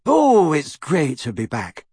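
A man's voice: mid-range voice, wildly swooping pitch, unhurried, slightly rough, dry recording, studio quality, exaggerated voice. A man voicing a serenely cheerful, guileless teenage girl in an audiobook. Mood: happy. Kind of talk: audiobook character voice.

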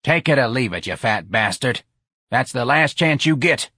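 A man voicing a gruff, blunt, short-tempered hot dog vendor boss in an audiobook. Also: mid-range voice, expressive, moderate pace, pushed and bright, no room sound, clean studio sound, pitched above the performer's own voice. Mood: angry, threatening.

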